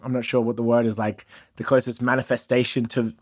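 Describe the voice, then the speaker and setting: A man's voice: mid-range voice, level tone, a little fast, a little mellow, no echo. A middle-aged man in a casual studio conversation, effusive and wordy, laughing often and searching for words. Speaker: freestyle MC and podcast guest. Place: small studio room. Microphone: studio mic on stand, headphones.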